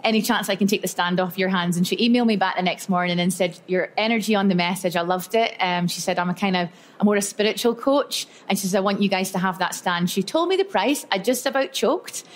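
A woman's voice: medium pitch, fast, few pauses. A woman giving a chatty, upbeat workshop talk to a live audience at an event. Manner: chatty and upbeat, storytelling with lots of "so" and "yeah". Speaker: speaker at a live expo talk.

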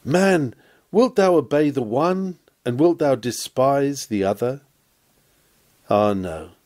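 A man's voice: medium pitch, lively intonation, slow pace, a little mellow. A man reading a historic sermon aloud in a solemn, declamatory, exhorting manner with dramatic archaic oratory.

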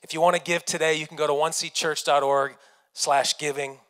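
A man's voice: fairly high pitch, little pitch movement, a little fast, a bit bright. An American man in his thirties preaching a sermon as a pastor, folksy and earnest, speaking into a handheld microphone. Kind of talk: sermon to an online congregation. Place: small indoor church stage room. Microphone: handheld microphone held close. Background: keyboard music.

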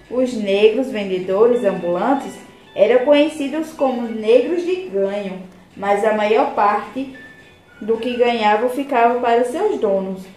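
A woman's voice: fairly high pitch, a little mellow. A young adult woman with a Brazilian accent, a primary school teacher giving a scripted lesson to children in a classroom. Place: small tiled classroom, indoors. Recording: echoey room with background noise.

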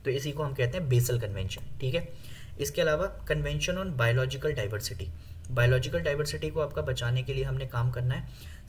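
A man with an Indian accent teaching an exam-prep lesson, explaining with many fillers, reading English lines slowly and asking viewers questions. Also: fairly high pitch, a little hiss or hum. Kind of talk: exam-prep lecture over slides.

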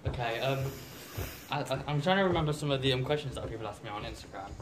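A man's voice: mid-range voice, animated, fast. A teenage boy vlogging in a school corridor, chatty and cheeky, joking and swearing as he walks. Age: teens. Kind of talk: casual school vlog. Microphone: phone held in hand. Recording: noisy phone audio.

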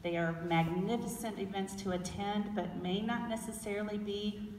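Middle-aged Southern woman, panel guest, relaxed and chatty, recorded far off with hall echo. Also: mid-range voice, moderate pace, slight background noise. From South Carolina. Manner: relaxed, chatty, with frequent fillers and restarts. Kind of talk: onstage fireside chat. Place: large indoor event hall with an audience. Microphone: handheld mic, recorded by a far camera.

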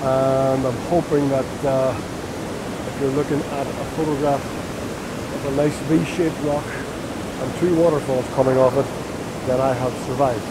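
A man in his fifties vlogging casually outdoors on a lapel mic, thinking aloud in a halting way. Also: mid-range voice, fairly even intonation. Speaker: landscape photographer vlogging. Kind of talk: casual explanatory vlog narration. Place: outdoors by a rushing woodland stream. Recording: loud hissing waterfall noise. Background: loud rushing waterfall water.

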